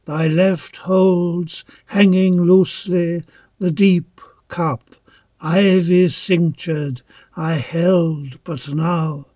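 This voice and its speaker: A man reciting dramatic poetry as an awed, devout young reveller of ancient Greece, solemn and declamatory in halting phrases. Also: sits high, fairly even intonation, warm tone, dry recording, full character voice. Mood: rapt, dreamy. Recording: dull lo-fi, dry close recording.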